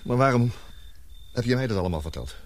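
A man acting in a radio play as a sceptical, loyal colleague, questioning and excitable. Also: medium pitch, quick pace, lightly acted. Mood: uneasy. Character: sceptical, loyal male colleague, easily indignant. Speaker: radio drama actor.

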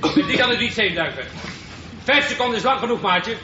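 An actor playing a brisk, teasing swimming instructor in a radio drama, commanding and jocular. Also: male voice, extremely high pitch, animated. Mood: bossy, jocular. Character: brisk, teasing, bossy male swimming teacher. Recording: echoey, dull, noisy pool-like acoustic.

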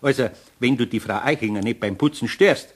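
An actor playing an older Bavarian craftsman in a children's radio play, gruff but good-natured, homely and admonishing, with a husky voice and Bavarian accent. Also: medium pitch, fairly quick, natural voice. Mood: coaxing.

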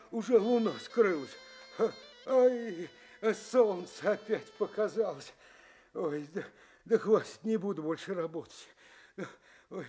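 An actor playing a hapless, weary poor farmhand in a fairy-tale audio play, stammering, sighing and plaintive. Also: male voice, high-pitched, warm tone, breathy edge, heavily acted. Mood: exhausted, grumbling.

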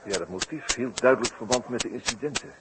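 A man's voice: mid-range voice, natural voice. Man acting wry radio detective, heavy crackling noise. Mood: calm, explaining. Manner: wry, theatrical, deliberate questioning. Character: sly, mocking male French police detective in circus mystery. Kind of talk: 1960s Dutch radio play acting. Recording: very noisy, clicky 1960s radio recording.

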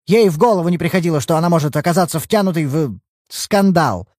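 A man's voice: a little high, animated, fast talker, darkish tone, dry recording, clean studio sound, voice pushed up, natural voice. A man voicing a distraught young woman who defends her sister. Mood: insistent. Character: young woman, protective sister, pale and upset.

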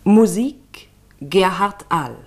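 A woman's voice: medium pitch, lively intonation, very slow. A woman working as a radio announcer, formally reading scripted programme credits.

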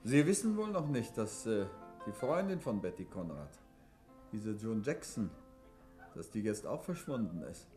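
A confident, easygoing detective in an old radio play. His voice is animated and swings freely in pitch. He talks in a relaxed, teasing way, with faint music in the background. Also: a little slow. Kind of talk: 1950s radio drama acting.

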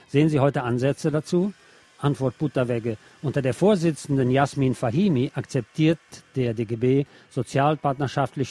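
A man's voice: medium pitch, a little mellow. A man reading scripted political news and commentary as a radio presenter.

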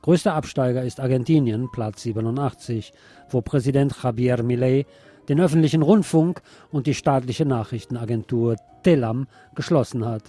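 A man's voice: mid-range voice, moderate pace, dark tone. Man reading the news over music. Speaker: radio presenter. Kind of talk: scripted news reading, later live eyewitness report.

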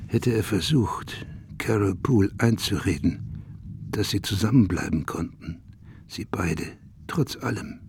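Brooding male narrator, radio play, creaky low voice, measured and reflective, faint noise behind.

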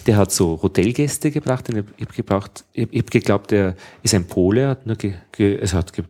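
An Austrian man with a lowish voice tells anecdotes in a loose, rambling way. He restarts his sentences often and keeps the tone of a relaxed chat. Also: a little fast. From Upper Austria. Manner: rambling storyteller, many restarts. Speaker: radio interviewer. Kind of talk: casual anecdotal conversation.

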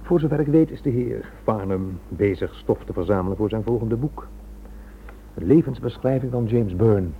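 A man's voice: fairly low pitch, normal speed, slight background noise, lightly acted. A man playing a self-assured, sardonic and slippery police inspector in a radio drama, formal in manner. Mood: dry, factual. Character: smooth-talking, condescending, slippery male police inspector. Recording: muffled old radio recording.

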